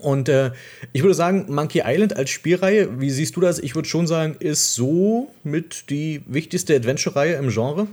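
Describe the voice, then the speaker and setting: A man hosting a gaming podcast, talking casually and playfully, his voice croaky from a cold. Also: a little high, expressive, moderate pace, a little mellow. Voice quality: husky. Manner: chatty, playful, many fillers. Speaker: podcast host.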